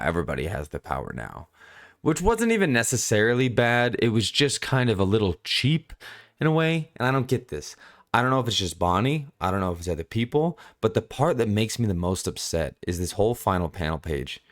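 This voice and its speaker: Male reviewer, low voice. Casual off-the-cuff commentary, halting and rambling, circles back over his own phrases. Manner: rambling, halting, repeats himself. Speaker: manga chapter reviewer.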